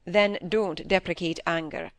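A woman reading an audiobook, playing a stern middle-aged husband who questions gravely, with clipped insistence. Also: fairly low pitch, fairly even intonation, unhurried, dry recording, lightly acted. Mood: cold, exasperated.

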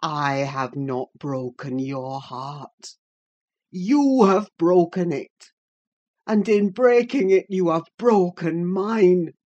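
A woman reader voicing a young man wild with grief, speaking in a harsh, accusing way that rises into shouts. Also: lowish voice, animated, slow pace, highs cut off. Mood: anguished.

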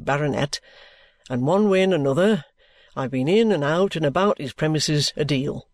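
A reader voicing a sly, genial middle-aged male detective with a cheerful, coaxing, unhurried manner. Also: mid-range voice, dry recording, lightly acted. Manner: cheerful, coaxing, leisurely drawl. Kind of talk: audiobook character reading.

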